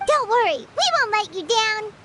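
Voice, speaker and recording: A woman voicing a tiny, excitable childlike fairy companion in a video game, squeaky and exclamatory. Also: moderate pace, noisy background. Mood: upbeat. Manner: refers to itself in third person, exclamatory.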